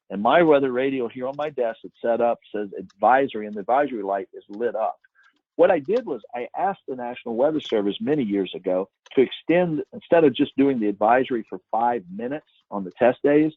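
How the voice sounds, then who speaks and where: A man's voice: medium pitch, breathy edge, no room sound. A man answering questions as a guest expert in an informal webinar, giving emphatic advice over a phone-like connection. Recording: tinny phone-line sound, dry.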